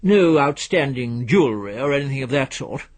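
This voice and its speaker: A man reads a businesslike male official in a storybook. He is brisk and casual, very matter-of-fact, with a husky tone. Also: medium pitch, expressive, lightly acted.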